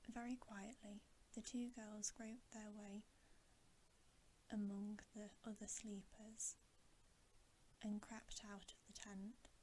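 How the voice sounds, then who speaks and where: A woman reads a bedtime story in a gentle ASMR whisper, slow and even in delivery. Her soft, mellow sound keeps to a narrow range of pitch, with faint noise behind it. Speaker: ASMR channel host reading a bedtime story.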